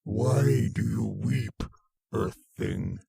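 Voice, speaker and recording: A man's voice: medium pitch, creaky, mellow, slightly rough, no echo, clean studio sound, exaggerated voice. A man playing a cold, arrogant alien monster in a horror radio drama, menacing and commanding. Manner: slow, menacing, commanding repetition.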